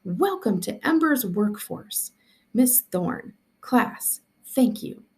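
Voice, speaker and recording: A woman's voice: fairly high pitch, very animated, takes it slowly, darkish tone, voice pushed up, exaggerated voice. A woman reading a storybook aloud as a stern, pompous, easily affronted male mayor, formal and scolding. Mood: coldly formal. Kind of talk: storybook dialogue read aloud.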